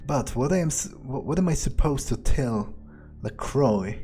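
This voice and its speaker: Mellow sardonic man thinking aloud. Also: medium pitch, animated, unhurried. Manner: sardonic, muttering, heavy swearing. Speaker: gameplay streamer roleplaying in character. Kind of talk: in-character game playthrough, thinking aloud. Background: game music.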